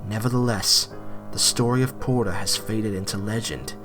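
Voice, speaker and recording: Slightly low-voiced male story narrator. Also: moderate pace, music in the background, low-quality recording. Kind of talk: scripted dramatic story narration.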